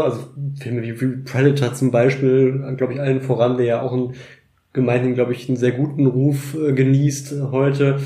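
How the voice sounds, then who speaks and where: An echoey room surrounds this man. His mid-pitched voice is soft-edged and mellow as he chats about film as a podcast host, phrasing things hesitantly. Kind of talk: conversational film discussion. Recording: roomy, echoing sound.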